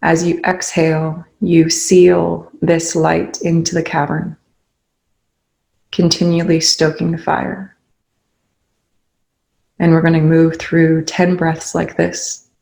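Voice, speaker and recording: A meditation leader guiding listeners in a soothing, unhurried way, with pauses between short instructions. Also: mid-range voice, monotone, gravelly, a little mellow, low-quality recording. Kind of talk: guided meditation.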